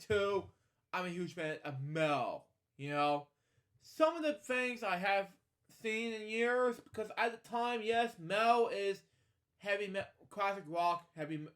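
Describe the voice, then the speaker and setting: A man with a fairly high voice hosts a casual storybook read-along. He wanders off into opinionated asides and speaks straight to his listeners. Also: a bit bright. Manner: digressive, opinionated commentary addressed to listeners. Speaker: podcast host.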